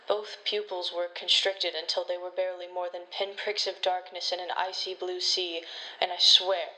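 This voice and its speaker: An uneasy female forensic pathologist , acted by a woman, narrates a horror story. Her voice is slightly low and tense, with little rise and fall. She is deliberate and pauses for drama. The home recording has a faint noise behind it. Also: sharp tone. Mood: unsettled. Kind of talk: scripted first-person horror narration. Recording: noisy low-quality home microphone recording.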